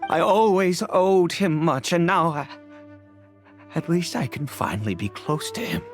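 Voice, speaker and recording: A man voicing a weary, centuries-old cursed nobleman and father in a video game, speaking formally and haltingly, with grief. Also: on the high side, sing-song. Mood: sorrowful, brooding. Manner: halting, hesitant, sighing, formal wording. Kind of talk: dramatic video game voice acting. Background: background music.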